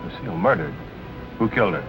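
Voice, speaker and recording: A man's voice: mid-range voice, huge pitch swings, quick pace, gravelly, heavily acted. An actor playing a cold, controlled, terse man in a vintage film noir thriller. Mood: smooth feigned concern. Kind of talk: 1940s film noir acting. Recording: muffled old film soundtrack, music underneath.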